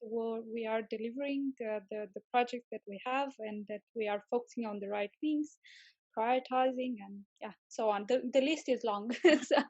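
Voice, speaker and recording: High-voiced woman. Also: moderate pace, darkish tone, no room sound, muffled recording. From Romania. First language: Romanian. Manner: hesitant, searching for words, enthusiastic. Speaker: interview guest, tech manager. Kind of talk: casual interview answers.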